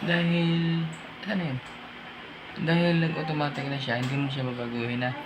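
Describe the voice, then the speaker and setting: A man's voice: a little high, monotone, moderate pace. A Filipino man presenting an informal hands-on tech tutorial, thinking aloud as he goes step by step. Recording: noisy amateur room recording.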